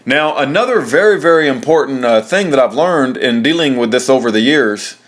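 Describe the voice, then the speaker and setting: A man talking through an informal how-to, explaining step by step in a halting way and repeating himself. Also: medium pitch, expressive. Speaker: presenter of a how-to video. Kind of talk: informal spoken tutorial, step by step.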